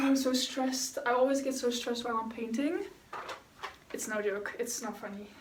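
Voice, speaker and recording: A woman's voice: on the high side, echoey room, distant mic, noisy background. A young woman from the Netherlands with a Dutch accent, chatting casually and rambling while she paints for her vlog. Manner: chatty and rambling, with fillers and self-corrections. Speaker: vlogger.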